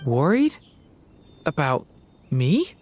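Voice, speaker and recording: A gentle, self-doubting young boy from an anime game. The voice sits fairly low for a woman's, earnest, polite and thoughtful, a little hesitant. Music plays quietly behind. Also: sing-song, extremely slow, heavily acted. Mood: timid, apologetic.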